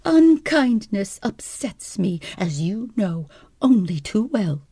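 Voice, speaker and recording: A woman's voice: sing-song, slow pace, dark tone, husky, dry recording, heavily acted. A woman voicing a fretful, self-pitying invalid wife who whines and dramatizes everything. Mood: plaintive. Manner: whining, theatrical, high-strung. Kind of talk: audiobook character voice.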